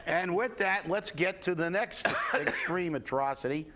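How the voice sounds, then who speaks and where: A man's voice: high-pitched, measured pace, a crowd in the background. A man with a head cold, stuffed up, hosting a local talk show and ranting with sarcasm and indignation. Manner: indignant, sarcastic, lots of restarts. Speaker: co-host.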